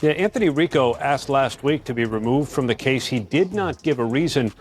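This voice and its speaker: Male TV correspondent, medium pitch, live explanatory report with hesitant repeats, light music underneath. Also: expressive. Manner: halting, with repeated words. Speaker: news correspondent. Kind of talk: live unscripted news report.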